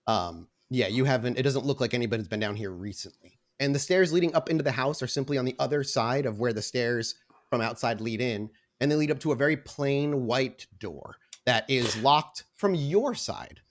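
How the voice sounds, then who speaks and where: A man's voice: medium pitch, lively intonation, moderate pace. A man working as game master, narrating a tabletop roleplaying game. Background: background music.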